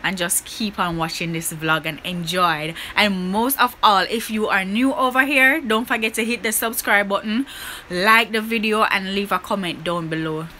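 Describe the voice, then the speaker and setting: Bright-voiced upbeat woman vlogging. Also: medium pitch, moderate pace, a little hiss or hum. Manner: upbeat and chatty, addressing viewers. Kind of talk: casual vlog talk to camera. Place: small bedroom indoors.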